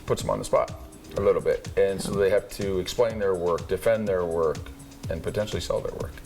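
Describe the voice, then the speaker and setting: Measured man explaining his residency. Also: deep voice, darkish tone, soft background music. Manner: measured, matter-of-fact. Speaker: residency co-founder. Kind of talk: explanatory interview talk.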